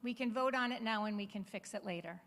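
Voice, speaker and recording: A woman with a mid-pitched voice addresses an audience in a semi-formal political speech. Her delivery is emphatic and plain-spoken. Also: quick pace, a bit bright. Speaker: state assembly member, panel speaker.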